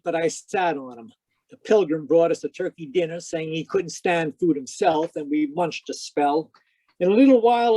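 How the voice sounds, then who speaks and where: Man as wry radio gumshoe. High voice, deadpan storytelling, dry sound. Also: no echo, rough recording. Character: wry hard-boiled private detective, Sam Spade. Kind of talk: old-time radio detective drama and narration. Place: live online performance over video call.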